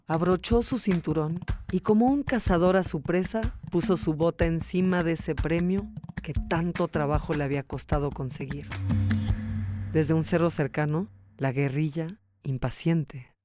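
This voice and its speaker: A narrator tells a scripted documentary story for a podcast. The voice is soft-edged and relaxed, sitting in a middle register. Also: medium pitch, a little hiss or hum.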